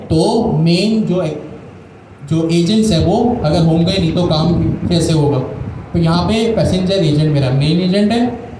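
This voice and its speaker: A man's voice: fairly high pitch, warm tone. A man teaching a live coding class, explaining step by step, quizzing students and repeating key terms. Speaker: coding instructor. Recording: very echoey room with clear background noise.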